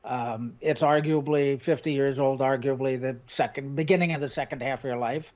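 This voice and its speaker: A man in his sixties hosting a video call interview, who talks in a hesitant, halting way with many uh fillers. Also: mid-range voice, steady tone, darkish tone. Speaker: host. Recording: dull lo-fi call audio.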